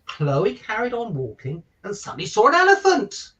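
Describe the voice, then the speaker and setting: Animated man reading a children's story, distant mic. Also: sits high, moderate pace, slight background noise. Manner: chatty asides and questions to listeners. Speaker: stand-in children's story reader. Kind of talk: children's picture book read-aloud. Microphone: virtual call, away from the microphone.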